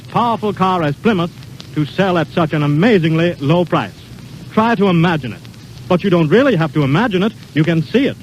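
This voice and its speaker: A high-pitched American man delivers a formal radio sales announcement in 1930s style. His delivery is emphatic and measured. The old broadcast recording carries some noise. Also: low-quality recording.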